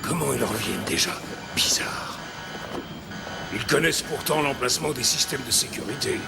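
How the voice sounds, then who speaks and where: Casting: suspicious cartoon watchman, gravelly mid-range mutter, loud music underneath. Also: mid-range voice, lively intonation, moderate pace. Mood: puzzled, suspicious. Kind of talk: French-language cartoon dubbing. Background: loud soundtrack music.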